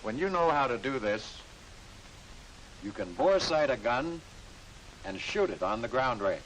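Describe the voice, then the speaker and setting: A man's voice: very animated, a little slow, fairly bright tone, rough recording. An American man reading scripted narration for a 1940s military training film, instructing the trainee directly. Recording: hissy 1940s film soundtrack, rumbling noise.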